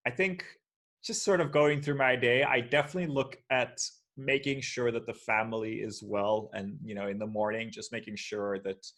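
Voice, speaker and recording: Male guest from Portugal, relaxed and rambling, on a video call. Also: mid-range voice, normal speed. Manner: relaxed, rambling with many fillers and restarts. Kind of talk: casual video call chat. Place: shared office space.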